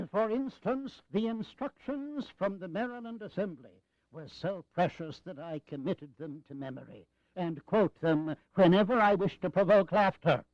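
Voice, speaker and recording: An actor playing an elderly, wry American statesman in an audio drama, speaking deliberately and sardonically. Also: sits high, no room sound, muffled recording. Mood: amused.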